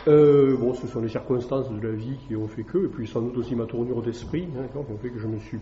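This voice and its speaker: Classics teacher, male, halting and thoughtful delivery, faint room hiss behind. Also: mid-range voice, warm tone. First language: French. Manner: hesitant, many fillers and tag questions. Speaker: classics teacher giving a talk. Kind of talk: public lecture to an evening audience. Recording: echoey dull room recording.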